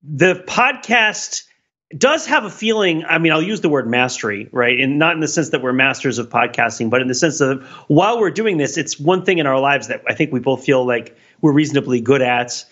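A man's voice: medium pitch, brisk, a bit bright. A man co-hosting a podcast, musing analytically and playfully in casual discussion over slightly lo-fi audio. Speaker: podcast co-host.

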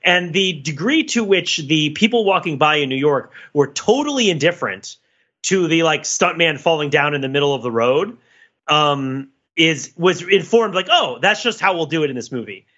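A man's voice: on the high side, animated, moderate pace, bright, forceful tone, highs cut off. A man co-hosting a podcast, casually analysing film in a discursive way, peppering his points with filler phrases like "you know". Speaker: podcast co-host.

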